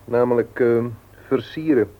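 An actor playing a wry, opinionated young man with a measured, firm way of talking in a Dutch radio play. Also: medium pitch, lightly acted. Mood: disapproving, firm. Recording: dull lo-fi old radio recording.

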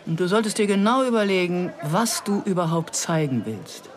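A woman's voice: lowish voice, lively intonation, moderate pace, a faint crowd, slight background noise, natural voice. A woman playing an outspoken, indignant, fearless mother in a radio drama, speaking combatively and emphatically. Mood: insistent. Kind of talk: German radio drama dialogue.

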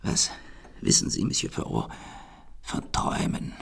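An actor playing an eccentric, suspicious old millionaire in a radio play, blustering and abrupt in a theatrical way. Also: male voice, a little slow, natural voice. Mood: hushed, intent. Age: older.

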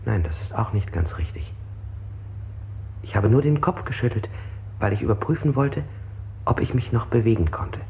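An actor playing a haunted man under interrogation in a German radio play, speaking deliberately and correcting himself. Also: lowish voice, animated, gravelly, darkish tone, rough recording. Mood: uneasy, self-correcting. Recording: hissy 1960s radio play recording.

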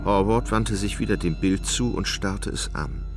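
A man narrating a literary radio play in a deliberate, suspenseful, dramatic style. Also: lowish voice, fairly even intonation. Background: music.

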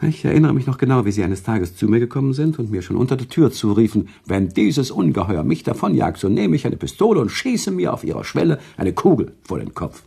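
Worldly emotional storyteller for radio drama, husky and mellow, pauses for effect. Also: male voice, sing-song, full character voice. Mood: insistent. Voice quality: husky, gravelly low voice. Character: sentimental, worldly male storyteller, emotional and teasing. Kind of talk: German radio drama acting, conversational storytelling.

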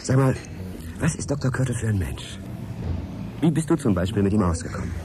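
Earnest, fast-talking low-voiced male journalist. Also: big pitch swings, background noise. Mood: curious. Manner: probing, earnest, conversational. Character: inquisitive male journalist, earnest, courteous, determined bachelor. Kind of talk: naturalistic radio drama dialogue. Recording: crowd chatter behind, café scene. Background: café crowd chatter.

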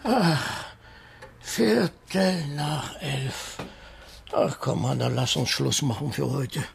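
An actor playing a tired, grumbling husband with a husky, gravelly voice in a radio crime drama. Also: male voice, medium pitch, sing-song, slow, slight background noise, heavily acted. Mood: weary. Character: weary husband, grumbly but giving in.

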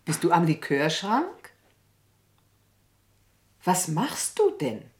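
An actress playing a bossy, reproving spinster lady in a German radio play, measured and critical. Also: on the low side, huge pitch swings, exaggerated voice. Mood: impatient, commanding.

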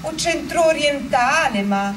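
A woman telling a story theatrically, in deliberate, emphatic phrases. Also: high voice, animated, reverberant, noisy background. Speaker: stage actor and narrator. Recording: distant microphone under loud music.

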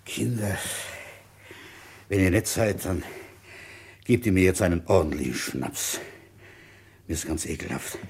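Husky, low-voiced weary police inspector. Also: male voice, animated, unhurried, long pauses, darkish tone, exaggerated voice. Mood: weary, sick. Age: 50s. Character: ailing, dogged French village police inspector, fifty-five. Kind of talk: German radio crime drama acting and first-person narration.

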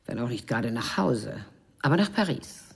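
An actress playing a haughty, disdainful middle-aged Parisian madame in a radio crime drama, with a husky, breathy voice and theatrical delivery. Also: female voice, rumbling low, very animated, moderate pace, heavily acted. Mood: bitter, composed. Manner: haughty, theatrical, swooping between indignation and hushed tones. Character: haughty mature Parisian bourgeois madame, disdainful, betrayed wife.

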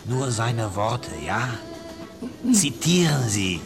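A man's voice: mid-range voice, sing-song, slow pace, vocal fry, over music, exaggerated voice. An actor playing a pushy, impatient spy handler in a German radio play, curt and demanding as he interrogates.

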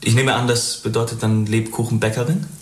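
An actor playing a pedantic researcher in a radio drama, polite but fussy, with a deliberate manner. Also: male voice, medium pitch, level tone, close to an everyday voice. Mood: fussy, absorbed. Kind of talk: radio drama acting, reading old documents aloud. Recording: roomy echo, faint noise.

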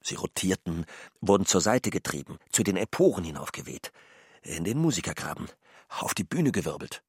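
A husky man giving expressive, theatrical narration in a radio drama, stretching out his phrases. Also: sits low, normal speed, no room sound, clean studio sound.

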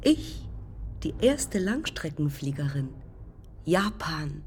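A woman's voice: sits low, big pitch swings, takes it slowly, darkish tone, a little hiss or hum, heavily acted. An actress playing a proud, ambitious young woman aviator haunted by failure, speaking in clipped fragments in a poetic radio play.

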